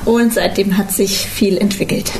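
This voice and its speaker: A woman in her twenties, a studio guest on a radio interview, explaining things calmly. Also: mid-range voice, moderate pace, noisy background. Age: 20s.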